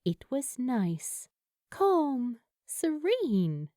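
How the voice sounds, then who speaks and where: A woman reading scripted fiction as an audiobook narrator, with an expressive, measured storytelling manner and deliberate pauses. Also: sits high, no room sound, studio quality.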